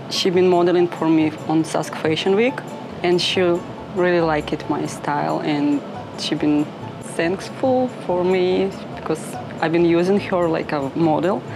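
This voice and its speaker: Ukrainian-accented woman, low creaky voice. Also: low-quality recording. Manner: halting non-native English with many "like" fillers. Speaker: fashion designer. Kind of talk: interview talk.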